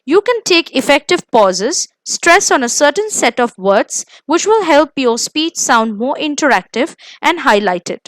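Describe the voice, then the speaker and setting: A woman with an Indian accent reading scripted coaching tips in a measured, emphatic instructional manner. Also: high voice, big pitch swings, no room sound. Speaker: presenter of interview coaching lesson.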